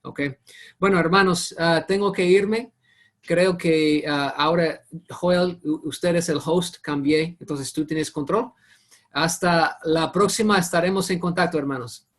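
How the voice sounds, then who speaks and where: A man with English as his first language leading an online Bible study over a video call, often halting and searching for words. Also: fairly high pitch, steady tone. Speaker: Bible teacher.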